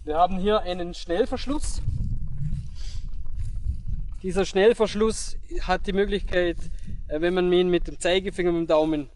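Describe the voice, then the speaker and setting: A young man giving step-by-step instructions on pitching a tent in an outdoor meadow, pausing with fillers as he works. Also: steady tone, darkish tone, a little hiss or hum, low-quality recording. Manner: explains step by step with pauses and fillers. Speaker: product demonstrator.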